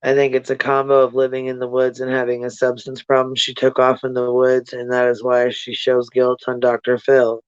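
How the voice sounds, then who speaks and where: A livestream host talks casually to viewers in blunt, slangy language full of swearing, circling back to hammer points home. The delivery is monotone and the voice is clean and smooth. The recording is dry and somewhat dull. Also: medium pitch, normal speed, few pauses, no room sound. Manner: blunt, profane, slangy, repeats points for emphasis. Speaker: livestream host giving commentary.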